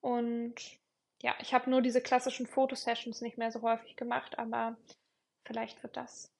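A woman's voice: on the high side, monotone, normal speed, vocal fry. A young woman hosting her own podcast, chatting casually and reflectively, with many fillers and repeated words for emphasis. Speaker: podcast host.

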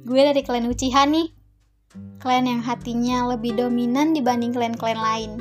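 A woman's voice: a little high, steady tone, moderate pace, over music. A woman hosting a solo podcast in a casual, slangy, playful chat. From Indonesia. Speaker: podcast host.

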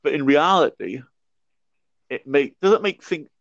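A man's voice: a little high, animated, unhurried, dry recording. A young man in his twenties, a podcast guest, talking reflectively with a stammer and frequent restarts. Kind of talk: casual conversation. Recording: dry muffled lo-fi audio.

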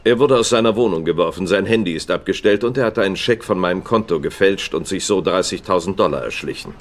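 A man's voice: sits low, fast talker. An actor playing a worried, businesslike middle-aged father in dubbed TV drama, earnest and matter-of-fact.

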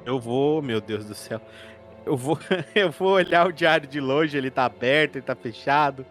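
A man with a Brazilian accent playing a tabletop horror RPG, improvising his character's actions in a joking, halting way. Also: mid-range voice, a bit bright. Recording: music playing behind the voice.

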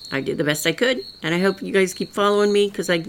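A woman's voice: medium pitch, fast, a little hiss or hum. An older woman with a rural Missouri accent, hosting her own podcast in a folksy, rambling, earnest monologue. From rural Southwest Missouri. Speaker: podcast host. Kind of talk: solo political commentary.

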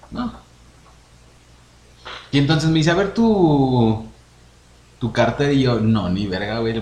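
A young man with a Mexican Spanish accent, talking loosely and full of slang, often acting out what people said. His voice sits at an ordinary pitch, and he was recorded in a room with a clear echo and a faint hiss behind him. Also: a little mellow. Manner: slangy, says "güey" constantly, acts out quotes. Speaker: podcast co-host. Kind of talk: casual chat. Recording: echoey room, faint noise.

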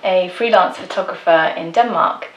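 A woman's voice: on the low side, moderate pace, muffled recording, a little hiss or hum. An English woman telling her own story as a podcast guest, chatty and laughing often between phrases. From England. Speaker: guest, freelance photographer. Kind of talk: casual personal storytelling. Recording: roomy, distant mic.

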